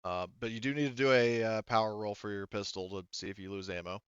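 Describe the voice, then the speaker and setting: A man with a low, smooth voice works through a tabletop game as its game master. He thinks aloud casually and swears freely. The sound is close and dry, slightly dull and lo-fi. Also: fairly even intonation, fast, a bit bright, no room sound. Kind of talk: narrating a tabletop roleplaying game.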